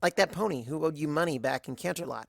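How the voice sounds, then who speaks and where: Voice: medium pitch, fast, creaky, fairly bright tone, dry recording, clean studio sound. A narrator as a brainy, awkward pony mare. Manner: wordy, hedging, awkward. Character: anxious, brainy young female pony student of magic.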